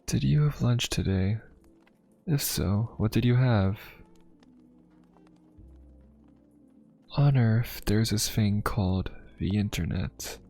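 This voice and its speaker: A man playing a courteous, inquisitive young pope of a steampunk fantasy world in an ASMR roleplay, speaking politely, formally and in a gently musing way. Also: lowish voice, unhurried, creaky. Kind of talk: ASMR roleplay addressed to the listener. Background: music.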